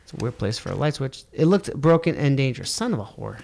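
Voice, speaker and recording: A man's voice: medium pitch, sing-song, fast talker, creaky, darkish tone. A man playing a video game, muttering, swearing at it and reading its text aloud. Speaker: let's play gamer. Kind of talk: casual let's play commentary, reading game text aloud.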